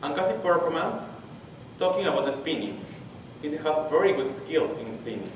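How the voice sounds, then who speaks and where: A man in his twenties from Peru with a Spanish accent, his first language, giving a technical conference talk and haltingly restarting phrases as he searches for English words. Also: fairly high pitch, expressive. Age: 20s. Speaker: conference presenter. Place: large conference hall. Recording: very echoey hall, distant mic, faint hiss.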